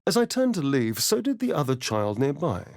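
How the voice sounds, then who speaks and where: An actor voicing a sly, vain vampire rogue for a video game, with an English accent and an arch, theatrical, drawling delivery. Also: male voice, a little high, sing-song, normal speed, a little mellow. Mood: hushed narrating.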